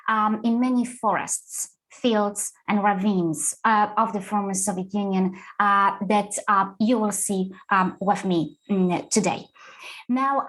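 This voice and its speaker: A woman's voice: medium pitch, low-quality recording. Woman with a Polish-French accent lecturing. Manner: hesitant, many uh and um fillers. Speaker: guest lecturer. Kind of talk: presenting a lecture over slides. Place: online webinar.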